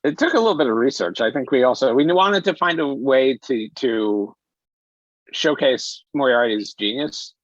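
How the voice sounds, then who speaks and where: Halting man on dull lo-fi audio. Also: medium pitch, lively intonation, dry recording. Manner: halting, searches for words, many restarts. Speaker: director being interviewed. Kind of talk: casual interview talk. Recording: dull lo-fi sound.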